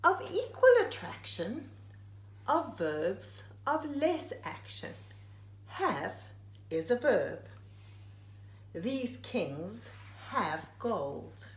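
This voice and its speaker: A woman's voice: mid-range voice, big pitch swings, drawn-out words, pauses often. A woman reading a rhyming children's picture book aloud like a teacher, phrase by phrase with dramatic emphasis. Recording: echoey room.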